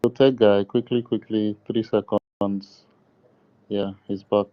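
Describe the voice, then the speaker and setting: A man's voice: lowish voice, flat delivery, slow. A man moderating an online gathering, speaking casually and apologetically as he handles the connection. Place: online audio space. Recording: dull lo-fi internet call audio.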